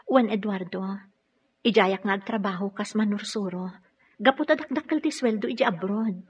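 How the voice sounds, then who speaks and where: An actress playing a loving, determined young sweetheart in a Filipino radio drama, earnest and reassuring, with a Filipino accent. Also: female voice, medium pitch, moderate pace, husky, dull audio. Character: loving, determined sweetheart, a teacher leaving for abroad. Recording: distant, off-mic.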